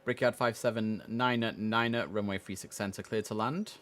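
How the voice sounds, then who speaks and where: A man's voice: fairly low pitch, steady tone, moderate pace, a bit bright. A man live streaming as an air traffic controller, mixing chatty muttered asides with clipped, formal radio-style instructions.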